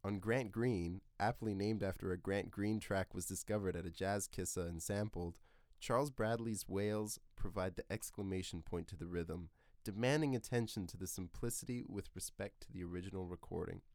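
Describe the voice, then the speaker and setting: Male podcast host, low pitch, close dry sound, scripted narration, earnest literary delivery. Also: normal speed, a little mellow, no room sound.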